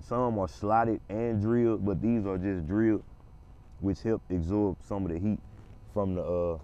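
A man with a hoarse voice from a cold, casually reviewing a car outdoors in a parking lot, talking straight to his viewers. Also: on the low side, warm tone, muffled recording. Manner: laid-back, talks straight to viewers. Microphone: handheld phone camera.

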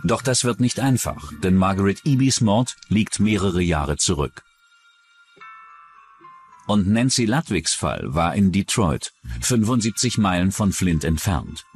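Male true-crime documentary narrator, deep register, animated delivery, scripted read. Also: unhurried, faint music behind.

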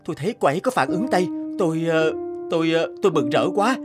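Earnest young physician for animation, male actor. Soft-tempered, sincere, conciliatory. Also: fairly high pitch, lively intonation, quick pace, loud music behind the voice, lightly acted. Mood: anxious, earnest. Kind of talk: animated cartoon voice acting.

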